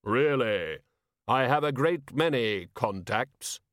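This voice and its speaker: Unearthly male figure, acted by a narrator, ominous, grave, deliberate, calm, fantasy audiobook. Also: mid-range voice, no room sound, studio quality.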